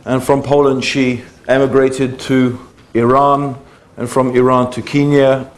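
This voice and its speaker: A man in his fifties speaks in a middle-pitched voice, neither deep nor high. He recounts his early life in a relaxed, digressive way, pausing on fillers and starting phrases over. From United Kingdom. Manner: conversational, digressive, with many fillers and restarts. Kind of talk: public talk, personal storytelling.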